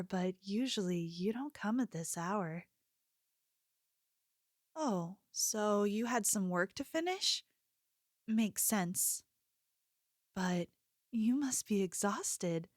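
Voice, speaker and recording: A woman playing a sweet, attentive young convenience store clerk in an ASMR roleplay, speaking softly with a gentle, hesitant manner. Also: mid-range voice, no echo, studio quality. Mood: curious, friendly. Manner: gentle, apologetic, hesitant, solicitous.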